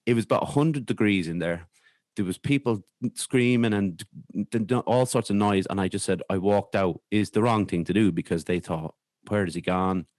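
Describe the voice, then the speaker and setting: An Irish man speaking candidly as a podcast guest, in a hesitant, halting way with repeated words. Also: on the low side, darkish tone, dry recording, clean studio sound. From Ireland. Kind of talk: candid conversational interview.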